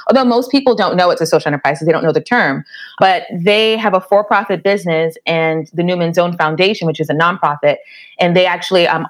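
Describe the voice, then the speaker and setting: A woman from the Bronx, a professor guest talking in an interview, laughing often as she tells her story. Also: fairly low pitch. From the Bronx, New York. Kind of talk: conversational interview.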